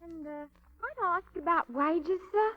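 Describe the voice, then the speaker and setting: Forward young housemaid, very high pitch, servile but cheeky, dull vintage radio recording. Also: female voice, moderate pace. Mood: pert, coy. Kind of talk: 1940s radio drama acting.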